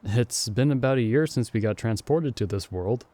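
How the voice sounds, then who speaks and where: A man's voice: mid-range voice, moderate pace, dark tone, no room sound, close to an everyday voice. A man playing a playful young man, the hero's friend who was summoned along with him, in an ASMR audio roleplay. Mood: cheerful. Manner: teasing, friendly and upbeat. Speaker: voice actor.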